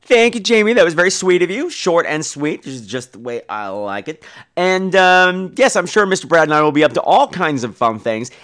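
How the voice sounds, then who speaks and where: A man's voice: on the high side, lively intonation, moderate pace, a bit bright. Campy, sarcastic man hosting a podcast. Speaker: podcast host. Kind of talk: casual chat, reacting to voicemails.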